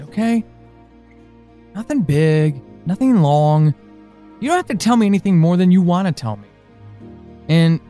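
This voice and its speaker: A man playing an anxious, pleading character in an audio drama monologue, speaking earnestly and haltingly. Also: a little high, warm tone. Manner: halting, earnest, with pauses and emphatic swings. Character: anxious, pleading man reaching out to a lost loved one.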